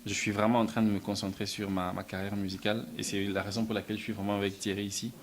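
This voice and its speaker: A man from Belgium answering interview questions about his music and films, soft-spoken, often stumbling and repeating words. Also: fairly low pitch, little pitch movement, fast talker. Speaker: musician and film writer, interview guest.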